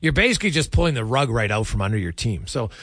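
A man's voice: mid-range voice, big pitch swings, fast, fairly bright tone, no echo, clean studio sound. A male sports radio host giving emphatic, opinionated hockey commentary in short, punchy sentences.

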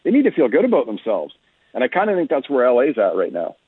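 A man talks sports as a radio guest over a narrow, phone-like connection. His voice is husky and very dry, with a mid pitch. He explains things conversationally and leans on key points for emphasis. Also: huge pitch swings, quick pace, no room sound. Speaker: hockey analyst guest. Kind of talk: radio sports interview analysis. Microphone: remote phone-like connection. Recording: narrow phone-like line, muffled detail.

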